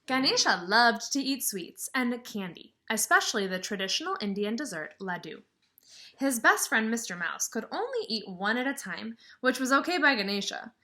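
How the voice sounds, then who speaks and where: A woman kindergarten teacher reading aloud and explaining to young children in an expressive, emphatic way, with questions to the listeners. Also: on the high side, moderate pace.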